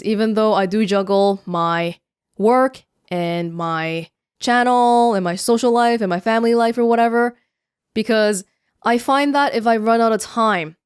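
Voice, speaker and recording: Chatty young woman, smooth close-mic voice. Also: on the high side, no room sound. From Canada. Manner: chatty, self-mocking, quick asides. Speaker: YouTuber. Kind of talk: casual Q&A vlog. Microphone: close studio mic.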